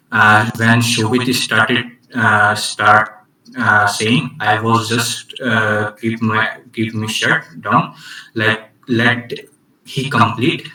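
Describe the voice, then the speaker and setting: Give me a slow, halting man with a bright voice on a video call, sounding distant and roomy. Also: on the low side, a little hiss or hum. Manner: slow, halting, many restarts and fillers. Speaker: club orientation presenter. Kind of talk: online talk on communication skills.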